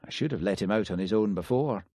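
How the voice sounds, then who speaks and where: A man reading an audiobook, voicing a patient village policeman who asks his questions quietly and politely. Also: lowish voice, quick pace, no room sound, studio quality. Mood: calm. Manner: quiet, level, courteous questioning. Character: calm Scottish village policeman, patient and dry.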